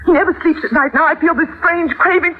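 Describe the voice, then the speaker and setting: An actress playing a frightened, desperate woman in a 1940s radio drama, halting and repeating herself. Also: very high-pitched. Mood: anxious confession. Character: frightened, desperate married woman dreading she's becoming undead. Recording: muffled old radio recording, organ music behind.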